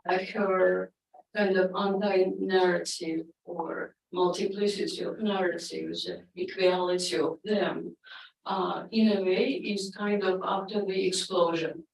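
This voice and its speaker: Slow, hesitant Russian-native woman. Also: on the low side, steady tone, creaky, far from the mic. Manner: slow and hesitant, many fillers. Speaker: audience member, poet and psychoanalyst. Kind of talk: audience comment at a lecture Q&A. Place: lecture room. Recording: roomy hall, voice from off mic.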